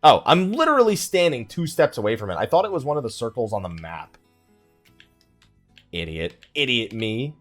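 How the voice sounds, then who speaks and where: A man's voice: medium pitch, very animated, moderate pace, a bit bright, faint music behind. A man hosting a gaming let's play, chatting casually in a rambling, joking way with mock exasperation and self-mockery. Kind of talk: casual chatty game commentary.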